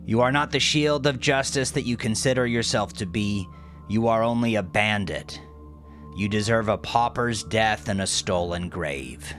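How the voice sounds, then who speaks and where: Audio drama henchman, played by a man: raised pitch, loud, stumbling, pompous gloating over background music. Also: close to an everyday voice. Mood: contemptuous, declamatory. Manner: halting, stumbling, declamatory. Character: gangster henchman haltingly reading a gloating, venomous letter aloud.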